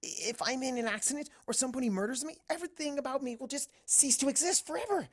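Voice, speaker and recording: A woman's voice: sits high, quick pace, voice pushed up. A woman voicing an earnest, bookish, anxious young mare in a storybook reading, stammering at times. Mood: elated.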